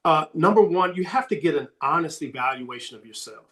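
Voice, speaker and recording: A man answering as a sports recruiting coach on a podcast, emphatic and repeating his key points, his voice slightly hoarse. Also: a little high, expressive, a little fast, pushed and bright.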